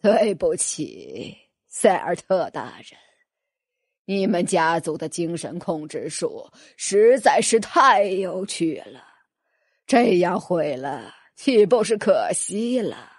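Lady doing a creepy old elder guy for a fantasy audiobook, low husky breathy voice, sly n fake polite. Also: sing-song, darkish tone, no room sound, clean studio sound, heavily acted. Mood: gloating. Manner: mock-polite, slow and sly. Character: sinister, gloating old male council elder.

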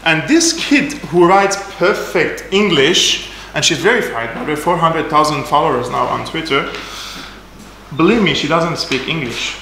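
A man's voice: fairly high pitch, animated, moderate pace, pushed and bright, a little hiss or hum. A man in his thirties giving a slide talk in a small attic lecture room, speaking with fillers and slightly non-native phrasing. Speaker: presenter. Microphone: far camera, no mic seen. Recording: echoey room from a distance.

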